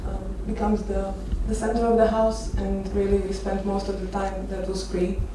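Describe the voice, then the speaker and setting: A woman in her thirties from Romania gives an informal talk as a photographer, telling personal stories with hesitant, halting phrasing. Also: mid-range voice, monotone. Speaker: architecture photographer giving a conference talk. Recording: echoey noisy venue, distant microphone.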